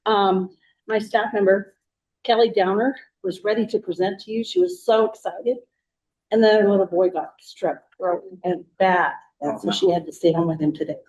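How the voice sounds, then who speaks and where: A woman with a hoarse, froggy throat giving a plain-spoken financial report at a meeting. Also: medium pitch, steady tone, normal speed, darkish tone. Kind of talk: meeting presentation. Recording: dull lo-fi recording.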